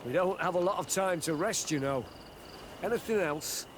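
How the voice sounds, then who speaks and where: A man's voice: high-pitched, moderate pace, background noise, close to an everyday voice. A man voicing a dutiful, worried military officer of a besieged outpost in a video game, earnest in manner. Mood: weary, grateful. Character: worried male outpost officer, dutiful soldier under siege. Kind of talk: video game cutscene acting.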